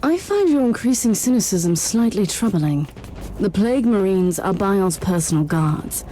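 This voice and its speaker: An actress playing a stern, wary but loyal woman Inquisitor, speaking in a measured, formal way in a game cutscene. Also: medium pitch, big pitch swings, warm tone, a little hiss or hum, natural voice, rough recording.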